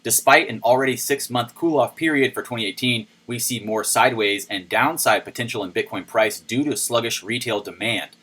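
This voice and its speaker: A man with a low voice hosting a casual crypto news video, reading and commenting on articles in a chatty, playful way. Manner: chatty and playful, with sudden shouted jokes.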